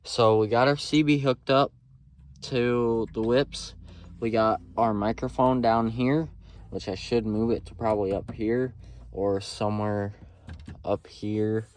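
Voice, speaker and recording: A man's voice: on the low side, fairly even intonation, measured pace, darkish tone. A man giving a casual hands-on tutorial in an informal, unpolished way, thinking aloud as he works. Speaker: amateur video host. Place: in and around a pickup truck. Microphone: phone camera mic. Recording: noisy phone recording, vehicle noise, clicks.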